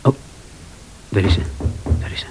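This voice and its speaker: An actor playing a scheming, impatient, smooth-talking husband in a radio thriller, with a Flemish accent. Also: male voice, normal speed, a little mellow, lightly acted, low-quality recording. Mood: wary, tense. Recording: radio drama with clear tape hiss.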